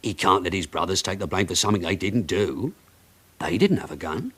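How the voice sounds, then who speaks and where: Low-voiced, shrewd police sergeant. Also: male voice, expressive, a little fast, sharp tone, slightly rough, heavily acted. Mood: pressing, menacing. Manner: controlled, pressing, needling with questions. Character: shrewd, calculating male police sergeant interrogating suspects. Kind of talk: radio drama acting.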